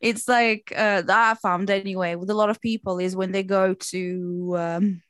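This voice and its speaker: A woman from Portugal, a native Portuguese speaker, chatting casually in English and telling stories in a chatty, animated way. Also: medium pitch, moderate pace, clean tone, no echo, clean studio sound. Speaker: performer who does shows. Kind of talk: casual chat.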